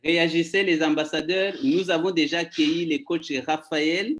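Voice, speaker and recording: A man hosting an online session, announcing his guest slowly and emphatically over a video call. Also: on the high side, steady tone, a bit bright, a little hiss or hum. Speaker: host.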